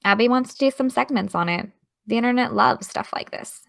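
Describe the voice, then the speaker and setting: A woman's voice: fairly high pitch, brisk, vocal fry, fairly bright tone. Earnest, studious teenage girl. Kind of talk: naturalistic audio drama acting.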